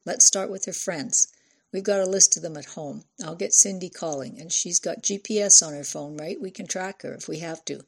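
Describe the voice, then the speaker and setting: A woman voicing an exasperated, needling father in an audiobook, brisk and sarcastic. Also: fairly low pitch, gravelly, husky. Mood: conciliatory, brisk.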